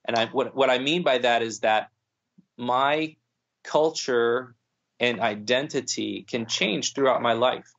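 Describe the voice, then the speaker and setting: Male podcast guest, measured and thoughtful, a little lo-fi. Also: mid-range voice, gravelly, a bit bright. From United States. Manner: thoughtful, many restarts and fillers. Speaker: guest scholar. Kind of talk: podcast conversation.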